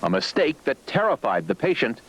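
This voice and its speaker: A man's voice: mid-range voice, big pitch swings, normal speed, low-quality recording. A man reading scripted documentary narration in the role of narrator. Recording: music bed under voice. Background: loud music.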